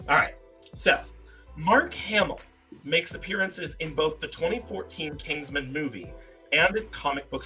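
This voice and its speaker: A man's voice: a little high, pushed and bright. A man hosting a casual live-stream quiz game, reading out questions in a playfully mock-pompous way. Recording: music behind the voice. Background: music.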